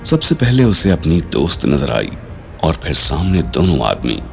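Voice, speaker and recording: A man's voice: extremely low pitch, huge pitch swings, unhurried, creaky, exaggerated voice. A man narrating a scripted audio drama in a suspenseful storytelling style, with dramatic delivery. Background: music.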